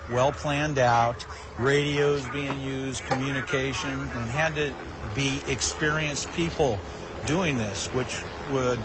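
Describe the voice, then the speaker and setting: Man commenting amid loud street noise. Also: medium pitch. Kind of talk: deliberate explanatory commentary. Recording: noisy, with vehicles and sirens behind.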